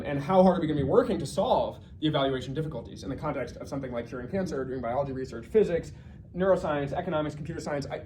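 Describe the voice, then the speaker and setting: Guy doing a casual research talk, talks fast, kinda thinking out loud, bit of noise in the background. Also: on the high side. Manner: conversational, thinking aloud with self-corrections and asides. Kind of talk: informal research lecture.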